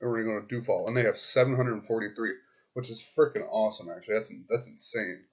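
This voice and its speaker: A hoarse man giving a casual screen-recorded walkthrough as a web marketing consultant. He talks straight to his client, loose and chatty, thinking aloud with lots of ums and restarts. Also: fairly low pitch, fast talker.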